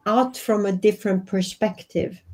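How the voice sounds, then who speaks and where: A woman's voice: mid-range voice, steady tone, normal speed, darkish tone. A woman in her fifties from Sweden, with a Swedish accent, talking earnestly and reflectively as an interview guest. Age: 50s. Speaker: guest, life and business coach.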